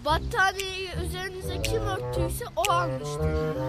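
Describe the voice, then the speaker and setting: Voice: very high-pitched, a little slow. A performer voicing Mert, an eager, playful young boy in a children's cartoon, sounding self-assured. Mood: eager, confident. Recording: loud music behind.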